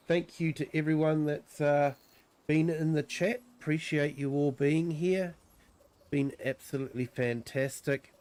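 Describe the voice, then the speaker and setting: A man with a New Zealand accent and a croaky voice from a cold, giving easygoing livestream commentary on a farming game, full of ums and uhs. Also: medium pitch, a little mellow. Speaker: streamer. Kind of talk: relaxed livestream gameplay commentary.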